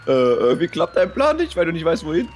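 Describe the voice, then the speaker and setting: A lively voice that moves up and down a lot. The speaker is a gamer chatting casually with a co-host while playing. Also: medium pitch, fairly quick, slight background noise. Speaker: gaming video co-host. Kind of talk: casual gaming banter.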